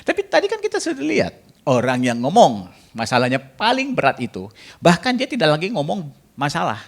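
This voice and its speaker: A man's voice: fairly high pitch, wildly swooping pitch, brisk. A man preaching a sermon in a jokey way, bantering with the congregation and mixing in English phrases. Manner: jokey, banters with the congregation and asks them to raise hands.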